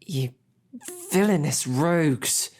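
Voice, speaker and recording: Woman playing a captive lady knight, deep raspy voice, takes her time, sarcastic but chivalrous, audio drama style. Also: slow, a little mellow. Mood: defiant, stern. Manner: formal, chivalrous phrasing mixed with dry sarcasm. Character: snarky, defiant captive female knight, loyal to her princess. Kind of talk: scripted audio roleplay drama.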